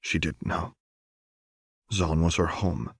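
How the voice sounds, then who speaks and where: Deep-voiced, slow male audiobook narrator. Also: steady tone, husky, no echo, clean studio sound. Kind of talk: scripted fiction narration.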